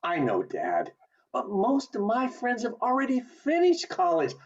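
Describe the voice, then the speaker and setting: A man playing a restless, eager young small-town man with big dreams in an amateur radio play, earnest and expressive. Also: very high-pitched, measured pace, exaggerated voice. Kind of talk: community theatre radio play acting. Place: remote video call. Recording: dull lo-fi video call audio.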